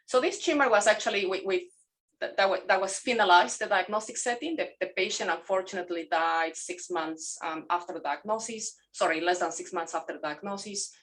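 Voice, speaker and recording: A middle-aged woman from Mexico, a native Spanish speaker, presenting a pathology case on a video call, halting with fillers and self-corrections. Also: medium pitch, fairly bright tone.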